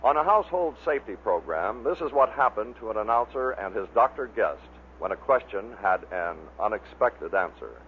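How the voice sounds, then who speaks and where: A man's voice: fairly low pitch, sing-song, normal speed, few pauses. A man reading scripted narration that introduces clips, as the narrator of a compilation. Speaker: narrator of a radio bloopers compilation. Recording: muffled vintage narrow-band recording with hiss.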